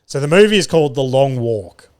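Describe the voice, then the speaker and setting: A man's voice: medium pitch, expressive, brisk, smooth. A young man hosting his solo podcast, telling comedy-gig stories in a loose, sweary way and laughing at his own jokes. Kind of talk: casual solo podcast storytelling. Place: studio.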